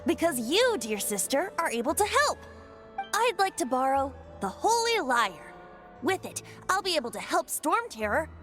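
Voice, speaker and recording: A woman's voice: high-pitched, very animated, normal speed, fairly bright tone, soft background music. A woman voicing a playful, boastful young male bard in a video game, with a light, teasing manner. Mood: charming.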